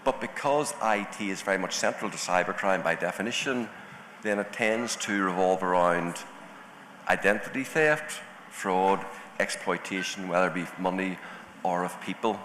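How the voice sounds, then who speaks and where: A man from Northern Ireland with a Northern Irish accent, speaking deliberately as a panelist at a conference. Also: low voice, fairly bright tone, slight background noise. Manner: deliberate, enunciating carefully. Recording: echoey hall with faint ambience.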